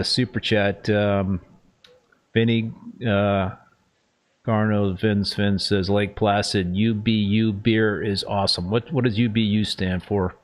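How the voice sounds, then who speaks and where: A man hosting a live talk stream, chatting easily with fillers and repeated words. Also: fairly low pitch. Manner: easygoing, fillers, repeats words, reads viewer messages. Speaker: live show host. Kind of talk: casual live-stream chat.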